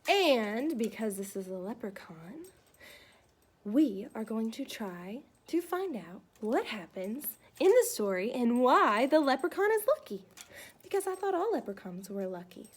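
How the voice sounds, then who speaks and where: Woman teacher, high-pitched, chatty and child-directed, hosting a storytime for young pupils. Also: sing-song, unhurried, husky. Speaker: schoolteacher. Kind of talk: read-aloud video for young pupils.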